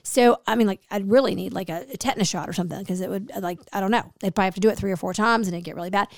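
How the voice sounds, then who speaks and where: A middle-aged woman chatting on her podcast, telling stories and playing out both sides of the conversation. Her voice is a little gravelly and hoarse, with a medium pitch. The sound is close and dry. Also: rapid-fire, no echo. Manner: chatty storyteller, acts out quoted dialogue. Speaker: podcast host. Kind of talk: casual chat.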